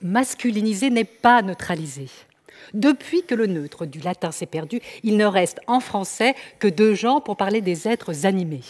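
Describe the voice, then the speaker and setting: A woman's voice: medium pitch, animated, normal speed, darkish tone, in a large hall. A woman performing a declamatory stage text with emphatic, rhythmic recitation.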